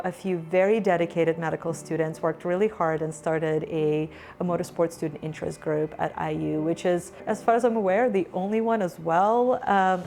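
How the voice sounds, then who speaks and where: Gravelly low woman faculty mentor. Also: a little mellow. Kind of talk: interview testimony. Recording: music bed underneath.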